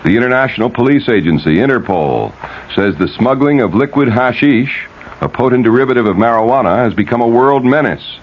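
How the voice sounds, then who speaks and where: A man's voice: mid-range voice, lively intonation, fairly quick. An American man anchoring a 1970s radio news bulletin, reading scripted stories. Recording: dull, lightly noisy vintage radio broadcast.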